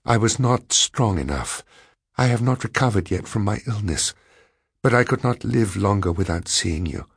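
A man's voice: lowish voice, lively intonation, normal speed, vocal fry, breathy edge, no room sound, studio quality, heavily acted. A man voicing a frightened, lovesick scheming woman in an audiobook, weakened, pleading and gasping. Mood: weak, pleading. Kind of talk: audiobook character voice.

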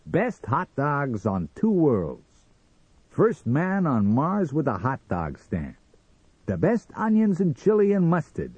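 A man voicing a brash, boastful, hot-tempered hot dog vendor in an audiobook story, loud and blustering. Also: a little high, wildly swooping pitch, takes it slowly, slightly rough, dry recording, dull audio, pitched above the performer's own voice, full character voice. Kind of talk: dramatised audiobook character reading.

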